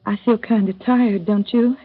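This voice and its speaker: An actress playing a soft-spoken, shy young woman in love in a 1930s radio drama. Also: medium pitch, fairly even intonation, normal speed, breathy edge, faint music behind, lightly acted. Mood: tender. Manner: soft, gentle, wistful. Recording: thin phone-like old radio recording.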